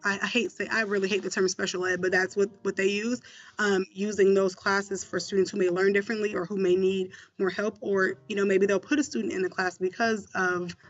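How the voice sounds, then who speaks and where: A man's voice: sits high, flat delivery, brisk, a bit bright, highs cut off, faint music behind. A man working as a school mentor, answering interview questions in a conversational way with many fillers and restarts.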